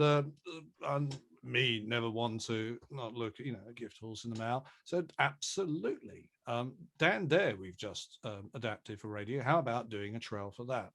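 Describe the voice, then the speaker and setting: A man, an audio drama producer, telling an anecdote in a halting way with wry understatement on a group interview call. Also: medium pitch, fairly bright tone. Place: remote video call.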